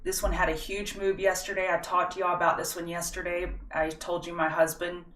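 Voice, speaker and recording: A woman's voice: on the low side, little pitch movement, brisk, fairly bright tone, distant mic, a little hiss or hum. Folksy woman streaming market analysis. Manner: chatty, folksy, talks directly to viewers. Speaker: stock market livestream host. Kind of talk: casual market analysis livestream. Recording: roomy echo, set back from the mic.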